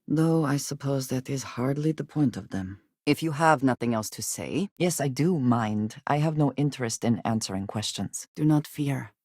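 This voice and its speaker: An actress playing a guarded, solemn woman spacefarer in a video game, speaking in measured, formal phrases. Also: extremely low pitch, dry recording, clean studio sound, heavily acted. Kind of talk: video game character dialogue.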